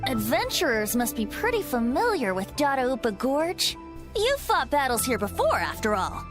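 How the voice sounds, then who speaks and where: A woman's voice: high voice, very animated, moderate pace. A woman voicing a youthful boyish bard in an anime video game, playful, sly and musing with a lilting theatrical delivery. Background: game music.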